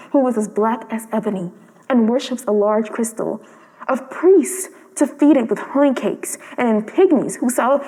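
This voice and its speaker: A woman's voice: on the high side, dark tone. A woman reading a children's story aloud as scripted narration. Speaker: storybook reader. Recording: long hall-like reverb.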